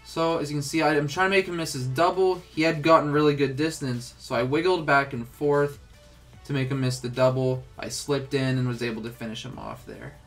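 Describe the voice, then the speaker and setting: A man's voice: medium pitch, steady tone, brisk, soft background music. A young man with a stuffed-up, congested voice, casually talking through a game tutorial with lots of filler words. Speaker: gaming tutorial host.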